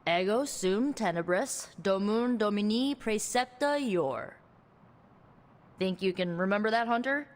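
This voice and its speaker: An actress playing a quick-witted, sardonic young sorceress in a video game, talking in a casual, halting, anxious way. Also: female voice, medium pitch, lively intonation, in a large hall, natural voice. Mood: urgent, instructing. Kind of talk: video game cutscene dialogue.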